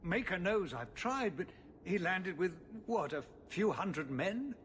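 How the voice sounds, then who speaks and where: A man's voice: high-pitched, very animated, a little slow, a bit bright, slight background noise, full character voice. An actor playing a formal, anxious city ruler pleading for help with his son. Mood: worried. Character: worried middle-aged male city ruler and father.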